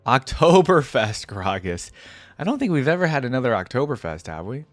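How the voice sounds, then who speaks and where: A man's voice: medium pitch, big pitch swings, moderate pace, slight background noise. A man giving casual reaction commentary as a video creator, thinking aloud and hedging his opinions as he goes.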